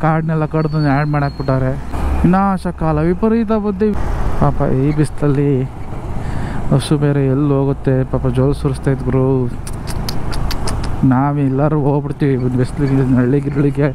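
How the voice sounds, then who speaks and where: A man talks to his viewers from a motorbike, chatty and teasing, with grumbling asides. His voice sits a little high for a man. Loud traffic and wind noise run under everything he says. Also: moderate pace, long pauses. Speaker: motovlogger. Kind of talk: casual riding commentary. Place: on a motorbike in city traffic, outdoors.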